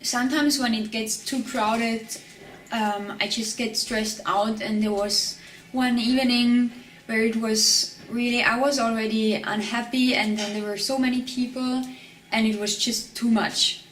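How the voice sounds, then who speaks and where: Young Swiss woman, gentle halting narration. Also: a little high, fairly even intonation, echoey room, distant mic, low-quality recording. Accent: Swiss German. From Switzerland. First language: Swiss German. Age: 20s. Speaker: documentary subject. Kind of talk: personal documentary narration.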